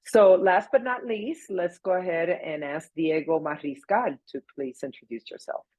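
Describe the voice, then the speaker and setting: A woman's voice: lowish voice, normal speed, darkish tone. A woman moderating a panel, brief and affirming.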